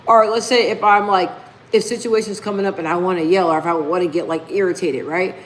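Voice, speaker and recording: A woman's voice: on the low side, fairly even intonation, a little fast, slight background noise, rough recording. A woman hosting a casual live stream, talking emphatically, repeating key phrases and reading viewer comments aloud. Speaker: livestream host.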